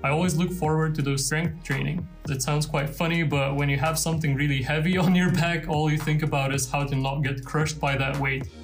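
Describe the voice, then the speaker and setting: Man, 20s, documentary interview, chatty and rambling, higher voice. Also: little pitch movement, brisk, hardly any pauses, faint music behind. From Lithuania. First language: Lithuanian. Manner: casual, rambling with many fillers and light laughs. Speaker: freelance designer interviewee.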